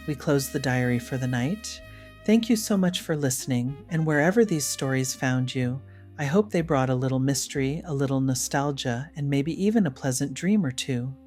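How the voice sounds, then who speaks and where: A man hosting a vintage radio collection, delivering a scripted, gentle and reflective sign-off. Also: mid-range voice.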